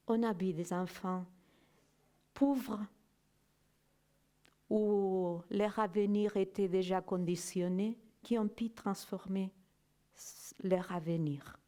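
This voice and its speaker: Slow, mellow-voiced woman giving a testimony. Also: medium pitch. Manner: halting, searching for words, asks "non?" often; non-native French with grammar slips. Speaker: guest speaker giving a testimony. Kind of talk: slow reflective personal testimony.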